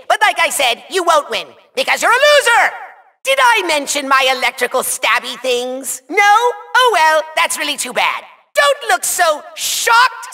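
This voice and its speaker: A man voicing a petty, boastful, tantrum-prone villain overlord in a video game, theatrical, taunting and whiny, with a shrill nasal voice. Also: bright, forceful tone, full character voice. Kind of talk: over-the-top video game villain acting.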